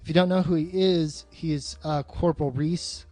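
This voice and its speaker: A man's voice: fairly high pitch, steady tone, moderate pace, dark tone, faint music behind. A man in a casual film discussion as a co-host, blunt and swearing often.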